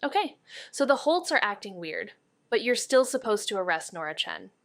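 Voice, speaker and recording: A woman's voice: medium pitch, big pitch swings, moderate pace, no echo. A wry, dry young female sleuth. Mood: dry, probing. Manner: dry, clipped, probing. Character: sharp, wry young woman amateur detective, narrating noir. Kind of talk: neo-noir audio drama dialogue and narration.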